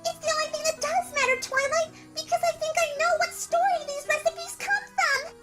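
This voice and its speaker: An excitable cartoon pony in a fan-made audio drama. Her pitch is extremely high, almost childlike, and her delivery is emphatic and dramatic. Loud music plays behind her. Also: brisk. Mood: urgent, serious. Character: excitable female cartoon pony, hurt and urgent.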